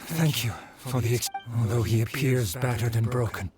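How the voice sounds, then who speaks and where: A man's voice: mid-range voice, normal speed, close to an everyday voice. An actor playing a dutiful, honorable swordsman in a fantasy video game, speaking formally and earnestly. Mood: formal, grave. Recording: music under the voice.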